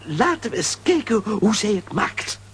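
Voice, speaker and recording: A man playing a kindly, excitable elderly professor in a children's audio drama. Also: sits high, normal speed, slightly rough, full character voice. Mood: cheerful.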